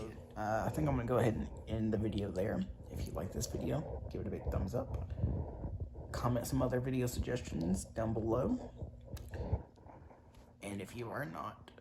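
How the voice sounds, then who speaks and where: A congested man chatting casually to his viewers in a vlog, halting with many fillers and restarts. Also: mid-range voice, noisy background. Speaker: vlogger.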